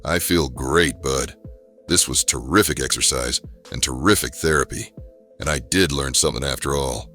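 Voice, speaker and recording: A very deep, forceful comic book bruiser made of rock, big-hearted and cocky. He talks in New tough-guy slang with a gruff edge, over music. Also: moderate pace. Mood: relieved, friendly. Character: gruff, wisecracking rock-skinned superhero brawler, big and good-hearted.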